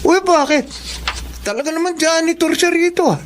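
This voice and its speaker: Young male college bully, snobbish, mocking, drawling, high voice, radio drama. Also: moderate pace, background noise. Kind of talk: Filipino radio drama acting.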